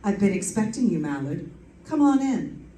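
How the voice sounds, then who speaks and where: A woman's voice: mid-range voice, sing-song, normal speed, mellow, slight background noise, lightly acted. Echoey, sassy radio detective dame played by a woman. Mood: sardonic. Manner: wisecracking, arch, theatrical delivery. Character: sassy, flirtatious woman private detective narrating her own case. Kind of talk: old-time radio detective drama acting. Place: live stage performance in an echoey room. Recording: echoey room, distant mic, music behind.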